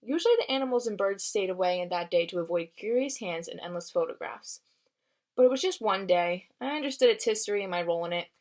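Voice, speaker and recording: A woman's voice: mid-range voice, animated, fast talker. A woman reads a children's book aloud expressively and explains it simply in a teacherly manner. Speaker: read-aloud reader. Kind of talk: expressive storybook read-aloud with explanatory asides.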